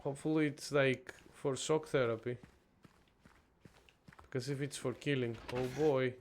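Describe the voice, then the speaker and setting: A man's voice: mid-range voice, steady tone, measured pace, slight background noise. A young man from Greece streaming a horror game, chatting casually with viewers and reacting as he plays. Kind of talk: casual gameplay commentary.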